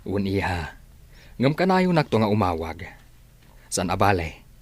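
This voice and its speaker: An actor playing a gentle middle-aged father who soothes his daughter, in a radio drama. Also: deep voice, very animated, measured pace, heavily acted. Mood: reassuring.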